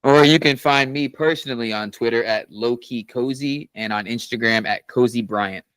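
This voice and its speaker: Brief: thirties male guest, mid pitch, dry sound, playful banter. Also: moderate pace, clear, resonant tone, no room sound. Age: 30s. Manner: joking, animated banter. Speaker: podcast guest. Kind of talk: casual sports chat.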